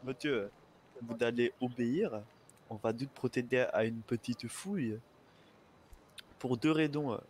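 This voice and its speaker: A man's voice: mid-range voice, measured pace, long pauses, darkish tone. A man role-playing a police officer in an online game, speaking in polite, fumbling officialese. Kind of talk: improvised police role-play, procedural speech. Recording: noisy gaming voice chat.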